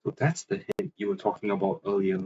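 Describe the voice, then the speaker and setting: Guy on stream reading game lines out loud, kinda low voice, bit muffled. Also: big pitch swings, quick pace, a little hiss or hum.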